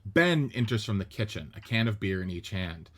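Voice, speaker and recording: A man reads out stage directions in a plain, matter-of-fact way. His voice sits fairly low. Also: normal speed. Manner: even, matter-of-fact reading. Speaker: narrator reading stage directions. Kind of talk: script reading narration.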